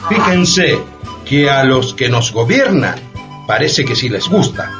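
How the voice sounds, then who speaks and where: An actor playing a spirited schoolteacher in radio theatre, exhorting pupils in a didactic way with rhetorical questions. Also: male voice, mid-range voice, very animated, takes it slowly, muffled recording, over music. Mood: indignant, exhorting.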